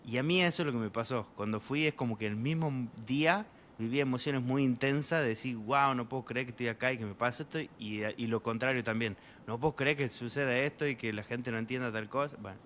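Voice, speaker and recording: A young man from Argentina with an Argentine Spanish accent, an interview guest telling travel stories in a relaxed, rambling way full of fillers. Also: medium pitch, fairly quick, hardly any pauses, slight background noise. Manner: rambling, many fillers, voices quoted people. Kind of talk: casual storytelling in an interview.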